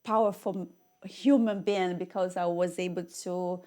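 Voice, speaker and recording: A woman's voice: on the low side, steady tone, unhurried, darkish tone. A woman from Rio de Janeiro, whose first language is Portuguese, hosts an interview show with effusive, exclamatory talk and non-native English phrasing. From Brazil, Rio de Janeiro. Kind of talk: enthusiastic interview chat.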